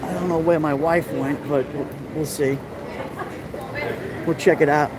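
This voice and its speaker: A middle-aged New York man walks a rainy city street with his phone, talking to his live stream audience in a fairly high voice. He rambles in a friendly way and greets viewers by name as he goes. Loud street noise comes through the phone mic around him. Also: steady tone, a little slow. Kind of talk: casual walking tour live stream. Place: outdoor city street during a festival, raining. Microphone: handheld phone camera.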